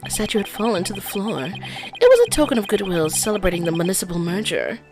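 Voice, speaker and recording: A woman's voice: mid-range voice, very animated, quick pace, over music. Woman putting on a vain, drawling male witness. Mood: haughty. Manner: drawling, haughty.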